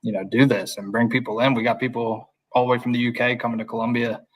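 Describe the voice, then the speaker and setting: A man's voice: medium pitch, flat delivery, fast talker. An American man talking casually as a member of a paranormal show team. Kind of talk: casual chat.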